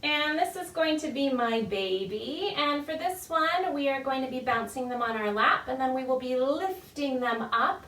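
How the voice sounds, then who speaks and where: A woman's voice: high voice, lively intonation, moderate pace, few pauses, a bit bright, slight background noise. A woman children's storytime leader giving friendly, encouraging instructions to parents and babies in a sing-song, demonstrative way. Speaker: children's librarian leading a baby storytime. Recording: roomy echo, distant mic.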